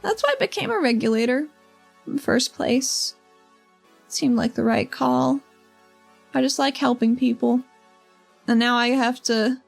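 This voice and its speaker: A woman playing a troubled, earnest regulator in a tabletop roleplay, speaking in a halting, pensive way and often trailing off. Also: a little high, gravelly. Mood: resigned, sad. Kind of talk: improvised tabletop roleplay dialogue.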